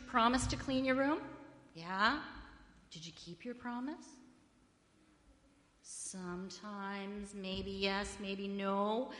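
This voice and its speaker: A woman in a church hall gives a children's sermon, questioning the kids in a friendly way. Her loud voice has a bright, forceful edge. The sanctuary rings with a long, echoey reverb. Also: mid-range voice. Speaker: children's story leader at church.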